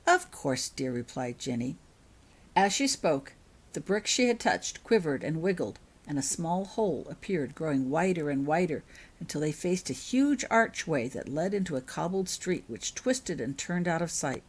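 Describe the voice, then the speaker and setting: A woman's voice: low-pitched, lively intonation, moderate pace, darkish tone, husky. A woman reading her own fiction aloud as scripted storybook narration.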